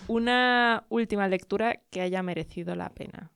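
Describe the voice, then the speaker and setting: A woman's voice: medium pitch, moderate pace, vocal fry, crowd noise. A woman with a Spanish accent from Spain hosting an interview, putting brief and encouraging questions to her guest. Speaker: podcast host. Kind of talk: interview questions and short backchannels.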